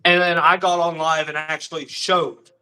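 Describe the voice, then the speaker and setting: A young man talks as a guest on a casual livestream and tells his stories quickly and earnestly. His voice is high and bright. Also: little pitch movement, clean tone. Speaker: guest musician.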